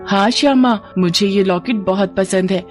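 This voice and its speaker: A woman's voice: normal speed, faint music behind, lightly acted. A woman doing a cheerful, playful little girl. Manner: high, lively and warm-hearted.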